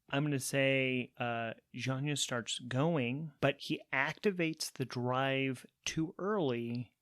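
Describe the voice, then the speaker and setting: A man's voice: mid-range voice, a bit bright, no room sound, clean studio sound. A man narrating a solo tabletop role-playing game as host and player, thinking aloud between casual rules talk and storytelling.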